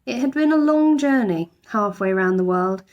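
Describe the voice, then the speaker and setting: A woman giving an expressive narration of a children's storybook, like a teacher reading aloud. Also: on the high side, moderate pace, muffled recording.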